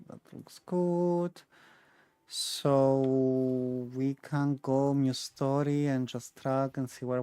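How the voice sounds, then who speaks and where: A man's voice: mid-range voice, gravelly, warm tone, smooth, no echo. A man giving casual gaming commentary as a streamer, chatty and thinking aloud as he plays. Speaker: game streamer.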